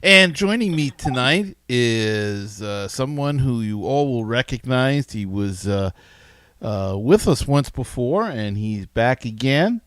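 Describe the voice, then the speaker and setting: A man with a mid-pitched voice talks casually as a sports podcast host. His delivery is halting and conversational. The sound is dry and close. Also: big pitch swings, fairly bright tone, no room sound.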